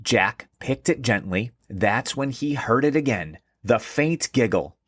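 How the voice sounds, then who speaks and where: A male narrator reads a scripted story for children with expressive, swooping delivery. His voice sits a little low and has a husky grain. The sound is very dry, with no room around it. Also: a little slow, dry recording. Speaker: host and narrator.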